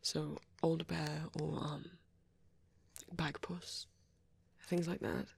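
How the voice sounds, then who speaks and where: A performer voicing a shy, gentle young vampire boyfriend in an ASMR roleplay, with a soft, hesitant, often whispered delivery. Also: mid-range voice, flat delivery, creaky, breathy edge, no room sound, heavily acted. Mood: fondly nostalgic. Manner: hesitant, halting, murmured musing.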